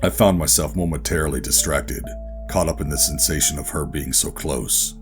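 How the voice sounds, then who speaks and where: An actor playing a gruff, guarded covert operative who narrates a sci-fi horror audio drama in a brooding, deliberate way. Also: male voice, extremely low pitch, over music. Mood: brooding, ominous. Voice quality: gravelly. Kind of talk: first-person scripted audio drama narration and dialogue.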